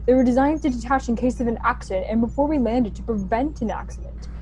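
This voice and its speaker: A woman's voice: on the high side, fast, darkish tone, slight background noise, natural voice, poor audio. A woman playing a technically minded young colonist in a sci-fi radio play, explaining things matter-of-factly. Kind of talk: science fiction radio play acting.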